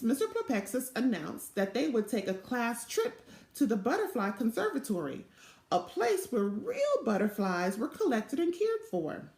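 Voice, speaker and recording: A woman narrates a children's storybook in an expressive, deliberate way. Her voice is on the high side and she takes her time over the phrases. It sounds like a device mic in an echoey little office. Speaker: storybook reader. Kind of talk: children's read-aloud narration. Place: small indoor office.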